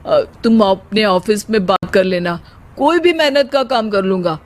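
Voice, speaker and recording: A woman's voice: mid-range voice, normal speed, natural voice. A woman voicing a lazy, theatrical young married man who declaims comic rhymes in a cartoon story. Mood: sheepish, earnest. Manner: declaims in rhyming couplets, theatrical.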